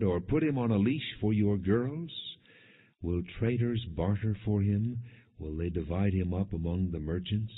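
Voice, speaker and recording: Deep, husky male scripture narrator, phone-like sound. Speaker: audiobook Bible narrator. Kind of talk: scripted scripture narration, non-dramatized reading. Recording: muffled, narrow phone-like sound.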